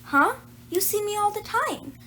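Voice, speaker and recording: A nervous young girl played by a woman in a children's storybook. Her voice is high, and she hesitates and stammers evasively. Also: animated, unhurried, pushed and bright, a little hiss or hum, heavily acted.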